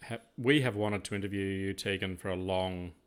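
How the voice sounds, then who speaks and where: Older aussie bloke on a podcast, lowish voice, chatty, restarts his thoughts a lot. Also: fairly even intonation, moderate pace, clear, resonant tone. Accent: Australian. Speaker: podcast co-host. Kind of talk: casual chat.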